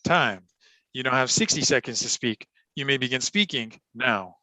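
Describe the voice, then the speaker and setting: A man coaching exam speaking on a livestream, encouraging and clear as he models answers and thinks aloud. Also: mid-range voice, lively intonation, pushed and bright, dry recording, poor audio. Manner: upbeat and encouraging, clear deliberate delivery.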